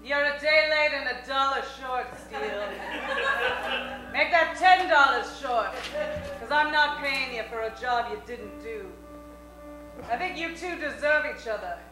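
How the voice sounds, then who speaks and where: A woman's voice: high-pitched, unhurried. A woman playing a haughty, exasperated heiress in a comic radio play, dramatic and scornful. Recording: echoey hall with music behind.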